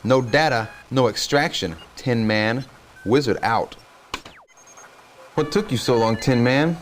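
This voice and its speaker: An actor playing a cocky young hacker and extraction specialist in a video game, slangy and wry. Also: male voice, mid-range voice, slow pace, slight background noise, lightly acted. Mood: angry, then impatient, focused. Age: young adult. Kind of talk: video game cutscene acting.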